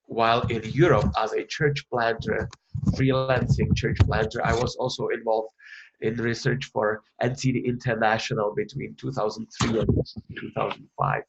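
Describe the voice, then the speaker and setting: A man's voice: medium pitch, steady tone, a little slow, gravelly, lo-fi sound, very noisy. A man from the Republic, presenting research in an online webinar, hesitant with many fillers and restarts. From Czech Republic.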